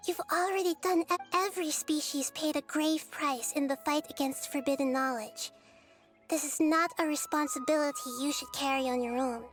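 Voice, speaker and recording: A woman voicing a small, childlike girl deity in a video game, wise and kind, speaking in a thoughtful, gentle and measured way. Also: sits high. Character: small girl deity, wise, kind and caring beyond her apparent years. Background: soft game music.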